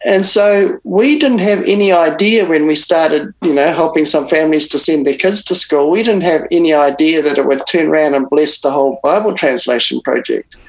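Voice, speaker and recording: An older man, a Bible translator, speaking as an interview guest and recounting events in an unhurried way with frequent restarts and pauses. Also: fairly high pitch, low-quality recording. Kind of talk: reflective interview storytelling.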